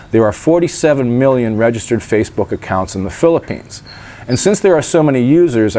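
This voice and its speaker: A man's voice: fairly low pitch, darkish tone. A man talks straight to the camera outdoors, his voice a little nasal and stuffed up. He rambles in a chatty way, dropping wry asides, with a faint hiss of noise behind him. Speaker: vlogger. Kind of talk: casual vlog talk to camera. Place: outdoors in sunshine by a gate.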